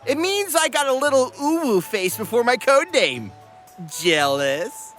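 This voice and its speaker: A man puts on a naive, nerdy young hunter for a cartoon parody. He is cocky and boyish, very high in pitch and wildly animated, with light music behind. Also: a little slow, heavily acted. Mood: cocky, goofy. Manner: boyish, eager, goofy. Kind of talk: comedic anime parody voice acting.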